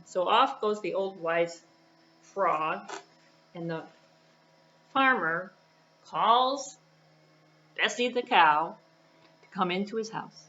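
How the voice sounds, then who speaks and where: A woman's voice: medium pitch, lively intonation, slow pace, long pauses, sharp tone, lo-fi sound, slight background noise. A woman telling a puppet show story to young children, in simple phrases with spoken sound effects.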